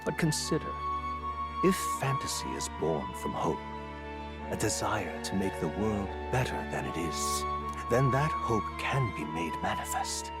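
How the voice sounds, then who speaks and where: An actor voicing an enigmatic, courteous guide in a fantasy video game, measured, eloquent and philosophical. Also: male voice, deep voice, very animated. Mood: contemplative, resolved. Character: enigmatic, courteous, thoughtful male guide to another world. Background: loud music.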